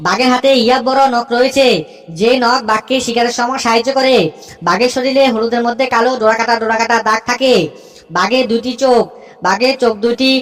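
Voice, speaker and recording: A woman's voice: a little high, steady tone, brisk, close to an everyday voice. A woman voicing a cheeky, boastful cartoon schoolboy who recites in a rote, sing-song way. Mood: confident reciting. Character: cheeky schoolboy reciting essays with cocky confidence.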